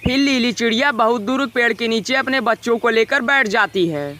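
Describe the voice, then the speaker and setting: A man narrating a scripted animated children's moral story. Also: very high-pitched, moderate pace.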